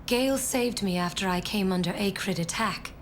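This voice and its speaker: An actress playing a grave widowed survivor in a video game, speaking in a measured, solemn way. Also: female voice, medium pitch, a little hiss or hum, close to an everyday voice. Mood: solemn, reflective. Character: grave widowed female survivor who has outlived her years. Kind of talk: English-dubbed video game cutscene acting.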